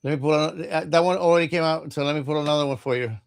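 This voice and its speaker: A man from Cuba, reading tarot cards in a halting, casual livestream chat and often repeating his words. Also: on the high side, gravelly, clean tone. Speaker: tarot reader.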